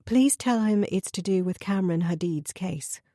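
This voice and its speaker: Give me a woman doing a sharp young investigator, tart and direct. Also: on the low side, normal speed, no echo, clean studio sound, lightly acted. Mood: challenging. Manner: pointed, tart questioning.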